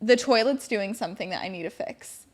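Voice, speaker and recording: A woman's voice: medium pitch, lively intonation, fairly quick. A young woman co-hosting a podcast with her sister, upbeat and playful in casual chat. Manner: upbeat, playful, says "like" often. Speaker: podcast co-host.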